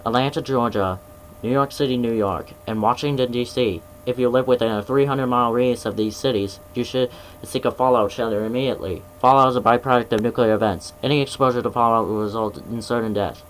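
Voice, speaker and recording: A man playing a grave emergency alert announcer, reading scripted warnings in a formal, measured way over a noisy broadcast. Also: medium pitch, fairly even intonation, natural voice. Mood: solemn, instructive.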